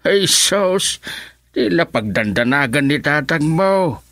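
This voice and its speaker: A man's voice: a little high, lively intonation, slow, a bit bright, dry recording, clean studio sound, heavily acted. A man acting an easygoing, reassuring grandfather in an Ilocano radio drama.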